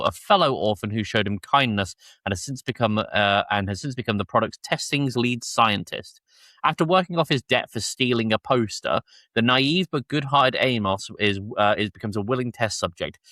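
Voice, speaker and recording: Low-voiced male podcast host. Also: sharp tone, dry recording. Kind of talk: episode recap and casual discussion.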